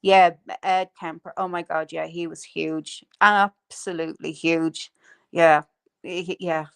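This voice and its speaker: A woman's voice: on the low side, steady tone, slow, vocal fry, clean tone. A woman, hosting a casual live stream chat and filling her talk with ums and laughs. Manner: chatty, lots of fillers and laughs. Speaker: livestream host.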